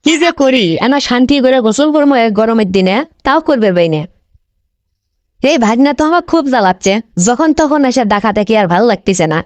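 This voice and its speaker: A woman's voice: on the high side, lively intonation, fairly quick, a little mellow, no echo, close to an everyday voice. A woman voicing a newly married young aunt in a cartoon, talking in a North Bengal Bangla accent. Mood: annoyed. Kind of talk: cartoon dialect comedy acting.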